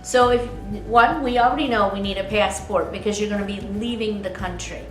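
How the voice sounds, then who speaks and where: A woman's voice: mid-range voice, fairly quick, echoey room, faint music behind, low-quality recording. A woman co-hosting a casual advice show, explaining travel preparation step by step and quizzing her co-host. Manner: explanatory, asks co-host quiz questions.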